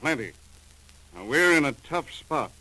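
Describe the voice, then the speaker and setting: Gruff american customs chief, old radio crime show, bright forceful voice, clipped and slangy. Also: male voice, fairly high pitch, very animated, unhurried, full character voice, rough recording. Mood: frustrated. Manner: clipped, slangy, businesslike delivery. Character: brisk, seasoned customs chief, gruff and dogged. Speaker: actor in a radio drama. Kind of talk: 1940s radio crime drama acting. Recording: old 1940s radio recording.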